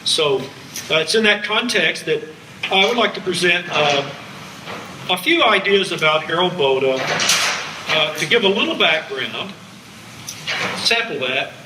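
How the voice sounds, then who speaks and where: Male American music lecturer, older, highish forceful voice, dry humor, recorded at a distance in a live room. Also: lively intonation, measured pace, long pauses. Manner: wry, jokey asides. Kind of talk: public lecture with slides. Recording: echoey hall, distant, faint background noise.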